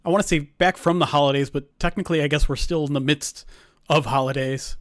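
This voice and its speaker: A man hosting a sports podcast, his voice raspy, chatty and joking at his own expense. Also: fairly high pitch, fairly even intonation, fairly quick, fairly bright tone, dry recording, clean studio sound. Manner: chatty, self-mocking, laughs easily. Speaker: podcast host and producer. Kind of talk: casual podcast chat.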